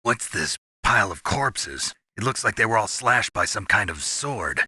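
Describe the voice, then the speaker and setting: A man playing a gruff, hard-bitten covert soldier in a video game, gravelly and terse, coldly pragmatic. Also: on the low side, big pitch swings, normal speed, sharp tone, no room sound, studio quality, full character voice. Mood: wary, puzzled. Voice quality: gravelly, husky. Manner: terse, guarded.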